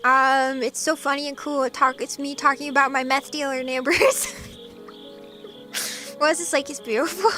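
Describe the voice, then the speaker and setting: A woman from eastern Washington, streaming as a VTuber and chatting casually with viewers, bubbly and quick to giggle. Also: sits high, steady tone, sharp tone, soft background music. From eastern Washington, USA. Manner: bubbly and giggly, gasps and laughs often. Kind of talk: casual chat with viewers, reading comments.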